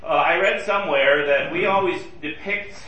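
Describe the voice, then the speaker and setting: A man's voice: on the high side, normal speed, highs cut off, slight background noise. A man teaching a Bible study class in a conversational way, asking his group questions. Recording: roomy and distant from the mic.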